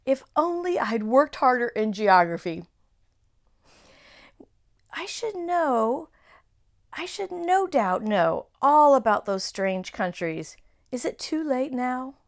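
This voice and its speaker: An excitable, courteous faun fusses over his words and bows with old-fashioned politeness, as a woman puts him on for a storybook reading. The voice sits high, swoops up and down, and comes out loud and eager. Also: takes it slowly, long pauses, full character voice. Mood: melancholy. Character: polite, fussy, nervous male faun.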